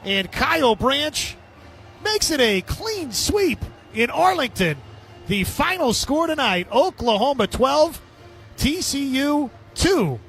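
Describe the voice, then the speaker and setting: A man calling baseball on the radio as a play-by-play announcer, with emphatic, drawn-out delivery. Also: very high voice, big pitch swings, a bit bright, faint music behind. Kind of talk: excited baseball radio commentary.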